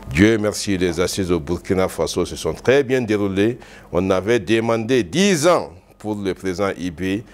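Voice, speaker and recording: A man, a civil society activist making an emphatic, declamatory public statement. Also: low voice. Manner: emphatic, declamatory, stresses key words.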